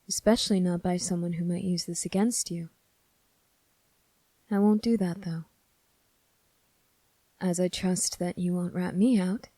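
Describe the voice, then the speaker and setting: A woman playing a kind, earnest young male cadet in an ASMR roleplay, speaking softly and politely to the listener with gentle reassurance. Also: on the low side, no echo. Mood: gentle, reassuring.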